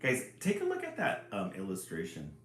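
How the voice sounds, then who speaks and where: A man hosting a casual, expressive storybook read-aloud and adding his own chatty asides. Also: deep voice, normal speed. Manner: chuckling, expressive. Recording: roomy echo, some background noise.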